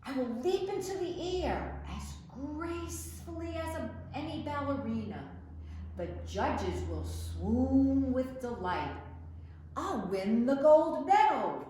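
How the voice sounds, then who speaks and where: A woman reading children's picture books aloud in an expressive, dramatic storytime manner. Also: high voice. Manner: animated, dramatic, measured. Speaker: library storytime reader. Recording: echoey room with background noise.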